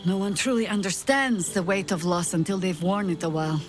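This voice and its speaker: An actress playing a compassionate, solemn adventurer in a fantasy video game, speaking in a measured, sincere way. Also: female voice, lowish voice, lightly acted. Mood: solemn, consoling. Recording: music behind the voice.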